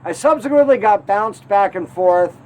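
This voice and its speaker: A man's voice: sits high, expressive, moderate pace, darkish tone. A man from Connecticut hosting an RV travel show, telling the story in a wry, mildly aggrieved way.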